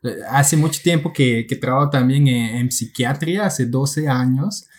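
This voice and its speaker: A man's voice: medium pitch, moderate pace, a little mellow. A man from Quebec in his late thirties, a native French speaker with a Quebec French accent, chatting as a guest and often repeating words as he searches for them. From Quebec, Canada. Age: late 30s. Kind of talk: casual interview chat.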